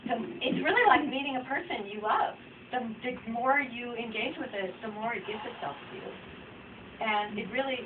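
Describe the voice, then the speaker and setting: Distant, muffled woman answering audience questions. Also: fairly high pitch. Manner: rambling, reflective, conversational. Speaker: author speaking at a book event. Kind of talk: informal audience Q&A. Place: bookstore reading event. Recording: distant mic, dull noisy room recording.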